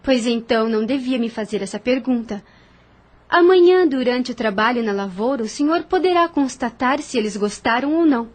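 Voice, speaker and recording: Woman acting a high-voiced, frank young widow. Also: a little fast, close to an everyday voice. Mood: composed, pointed. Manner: formal, direct. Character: proud, frank, kindly young widow on colonial farm. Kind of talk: audio novel dialogue.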